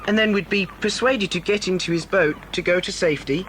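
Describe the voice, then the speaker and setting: Level-headed, suspicious British lad, high-pitched, children's adventure drama. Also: male voice, a little fast, fairly bright tone. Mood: wary, reasoning. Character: sensible, wary boy adventurer reasoning things out. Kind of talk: acted children's audiobook dramatisation. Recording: noisy old cassette recording.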